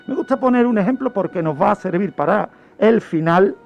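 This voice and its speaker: A high-voiced man narrates like a radio criminologist, emphatic and didactic. His voice has a heavy creak. The audio is dull and lo-fi, with music playing underneath. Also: lively intonation, fairly quick, darkish tone. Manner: emphatic, didactic, repeats phrases for effect. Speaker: radio criminologist presenting a true-crime segment. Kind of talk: storytelling explanation in a radio talk. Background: background music.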